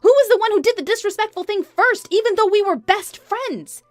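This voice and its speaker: A betrayed wife, played by a woman, scornful and sarcastic in a narrated story. Her high voice moves up and down forcefully, close and dry over music. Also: very animated, moderate pace, dry recording.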